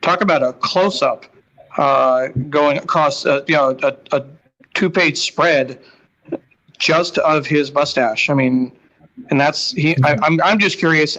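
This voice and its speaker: A man co-hosting a casual live show, halting and restarting his sentences often. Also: on the high side, sharp tone, muffled recording. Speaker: co-host.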